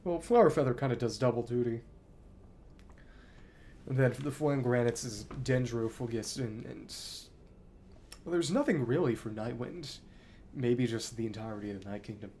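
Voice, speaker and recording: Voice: medium pitch, normal speed, pauses often, warm tone. A video game streamer of unclear sex commentating live on play, muttering asides and reading game text aloud. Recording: clear background noise, clicks.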